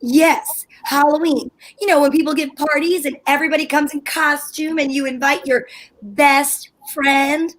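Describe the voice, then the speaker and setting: A woman's voice: high voice, steady tone, normal speed, fairly bright tone, rough recording. Woman playing a tart scheming housewife. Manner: tart, sarcastic, flustered. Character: scheming indignant housewife Liz. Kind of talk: amateur old-time radio acting.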